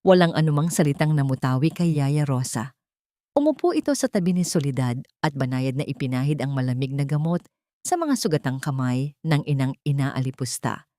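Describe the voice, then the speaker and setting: A woman narrating a dramatic scripted story in a measured, solemn, melodramatic way. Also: rumbling low, lively intonation, mellow, no echo, studio quality.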